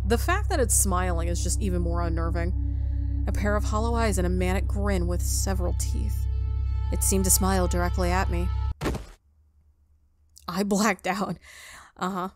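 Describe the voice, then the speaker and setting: A woman's voice: lowish voice, a little slow, pauses often, over music. A woman reading a visual novel aloud as a player, expressively, with wry and amused casual asides. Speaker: gamer doing a playthrough, reading aloud.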